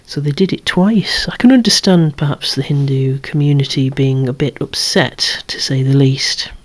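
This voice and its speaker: Breathy whispering ASMR collector. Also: mid-range voice, normal speed. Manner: rambling, chatty, lightly wry asides. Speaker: toy collector presenting own collection. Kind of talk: whispered ASMR show-and-tell of a toy collection.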